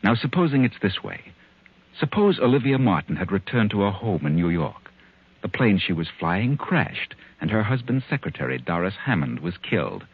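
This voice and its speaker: Low, husky tempter in a vintage radio thriller, taunting and theatrical, muffled old broadcast sound. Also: male voice, sing-song, dry recording, heavily acted. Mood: insinuating, calculating. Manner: insinuating, deliberate, theatrical. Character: taunting male inner voice goading a murder planner. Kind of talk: 1940s radio thriller acting.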